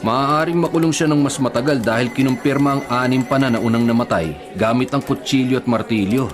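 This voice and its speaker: Actor as grave Filipino police investigator, medium pitch. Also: male voice, close to an everyday voice. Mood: grave, blunt. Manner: grave, deliberate, blunt. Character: stern, matter-of-fact adult male police investigator. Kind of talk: Filipino radio drama acting. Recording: dramatic music behind. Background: music.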